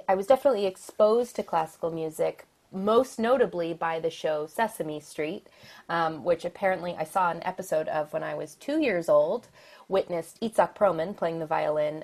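A woman from the Philadelphia area with an American accent, a violin soloist talking thoughtfully and positively as a podcast guest, often pausing with ums and soft laughs. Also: low voice, vocal fry. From Philadelphia area, USA. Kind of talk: podcast interview.

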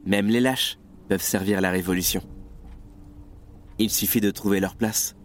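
A man's voice: lowish voice, steady tone, normal speed, slight background noise, lightly acted. An actor playing a weary, self-reproaching revolutionary who has lost his nerve, sombre and confessional, in a French radio play. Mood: resigned, bitter. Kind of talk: French radio theatre acting.

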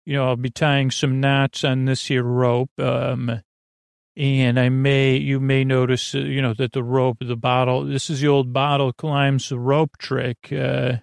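A man with a low, heavily creaky voice rambles through a bedtime monologue as a sleep podcast host. He halts, restarts and wanders off on tangents in a conversational way. The sound is close and dry. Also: level tone, no echo. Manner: meandering, halting, drifts off on tangents.

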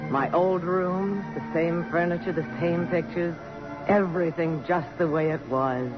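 An actress playing a poised, sentimental but strong-willed middle-aged congresswoman, with a crisp, commanding and emotional delivery in 1950s radio drama style. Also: fairly low pitch, a little slow, over music, lightly acted. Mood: nostalgic, moved. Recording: dull, noisy old radio broadcast.

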